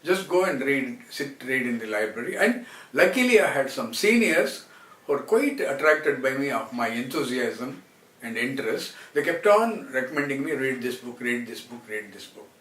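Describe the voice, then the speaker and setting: A higher-pitched man with a South Indian accent, a native Telugu speaker, talks to viewers in a halting, repetitive ramble. The room rings around his voice, which sits back from the microphone. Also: big pitch swings, a bit bright. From Karnataka, South India. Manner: halting, repeats words and phrases. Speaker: translator and former film actor. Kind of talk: rambling autobiographical talk to viewers. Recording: roomy, distant mic, faint background noise.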